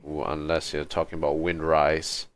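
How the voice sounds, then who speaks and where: Low-pitched man streaming a game, playful. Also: completely flat delivery, moderate pace, noisy background. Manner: interjecting, playful asides. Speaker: game livestreamer. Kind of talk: casual gaming commentary. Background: game music.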